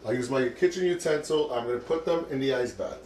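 A man's voice: medium pitch, fairly quick, darkish tone, slight background noise. An older man hosting a casual cooking demonstration in his home kitchen, chatting and encouraging viewers as he works. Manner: chatty, encouraging, talks while working. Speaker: cooking show host.

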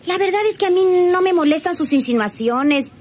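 A woman playing a gentle, cautious young country lady with a Mexican accent and an earnest manner in a radio drama. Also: high-pitched, animated, a little fast. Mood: confiding. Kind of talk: radionovela acting. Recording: noisy old radio serial recording.

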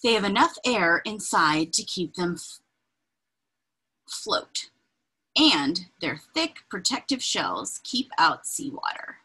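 A woman reading a children's picture book aloud in an expressive, deliberate, breathy way, adding informal asides as she points things out. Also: fairly low pitch, poor audio. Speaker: read-aloud reader. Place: indoors, small room with bookshelves.

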